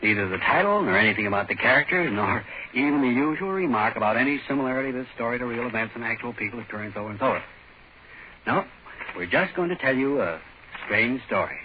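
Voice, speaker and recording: A man's voice: mid-range voice, animated, quick pace, slight background noise. A man hosting a 1940s radio show, delivering a spoken introduction. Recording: tinny, narrow 1940s radio broadcast.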